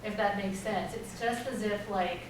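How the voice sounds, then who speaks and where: A woman whose first language is Tagalog speaks thoughtfully on a panel, pausing over her words with a lot of "you know". Her pitch is an everyday middle. The sound comes from far away in a hall full of echo, with a noisy hum underneath. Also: steady tone. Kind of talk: reflective storytelling on a panel. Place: large echoey room. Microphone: distant room mic. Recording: echoey hall recorded from far off.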